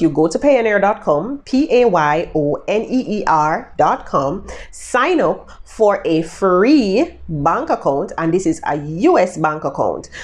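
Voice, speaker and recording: A woman's voice: lowish voice, darkish tone. A Jamaican woman giving casual, chatty tutorial tips to her viewers, upbeat and addressing them directly. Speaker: vlogger giving freelancing tips.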